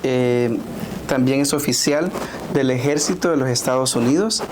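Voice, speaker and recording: A man's voice: mid-range voice, moderate pace, a little mellow, a little hiss or hum, rough recording. A man from El Salvador hosting a podcast interview, speaking with many "eh" fillers and short affirmations. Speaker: podcast host. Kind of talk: conversational interview.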